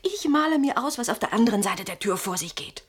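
An actress playing a pragmatic wife in a German radio play, reasoning with dry scepticism. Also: female voice, a little high, animated, fast, slightly rough. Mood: dryly reasoning.

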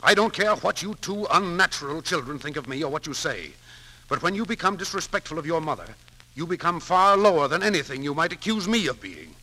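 A gruff, principled businessman with a very sharp, forceful voice pitched a little high. He speaks bluntly and emphatically in the manner of 1940s radio drama. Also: sing-song, fairly quick, full character voice, rough recording. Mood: indignant, angry. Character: gruff, blustering, principled businessman with a soft heart. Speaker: actor. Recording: 1940s radio broadcast recording.

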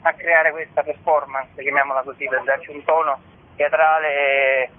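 A man's voice: fairly high pitch, flat delivery, normal speed. Man on a narrow phone-band line. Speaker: musician and theatre author, interview guest. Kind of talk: radio interview. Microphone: phone call to a radio show. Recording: narrow telephone-band sound.

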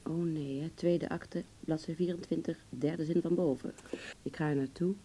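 Radio play lead: actress as wry, wounded beautician in love, female, mellow relaxed tone, intimate and hesitant delivery. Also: deep voice, normal speed, a little hiss or hum, close to an everyday voice. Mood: subdued. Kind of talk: Dutch radio play acting, intimate dialogue.

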